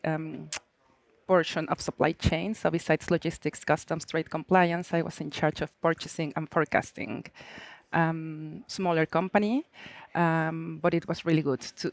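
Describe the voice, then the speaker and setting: Panel speaker, middle-aged woman, Mexican accent, flat even delivery, dry close sound. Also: deep voice, no room sound. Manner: hesitant, many fillers, warm and open. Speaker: panelist, supply chain manager. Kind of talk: conference panel talk. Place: conference stage.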